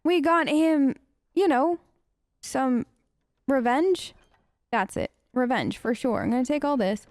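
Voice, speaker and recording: A woman's voice: sits high, lively intonation, takes it slowly, long pauses, darkish tone, no echo. A woman hosting a role-play video game let's play, chatty and skeptical, improvising casual replies in character. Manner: skeptical, chatty, playfully questioning. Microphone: close, dry microphone.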